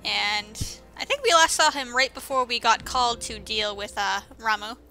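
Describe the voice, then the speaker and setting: Female game commentator, high and piercingly bright, sarcastic and informal, casual delivery over game music. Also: lively intonation, moderate pace. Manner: sarcastic, exclamatory; informal, swearing. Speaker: gameplay commentator.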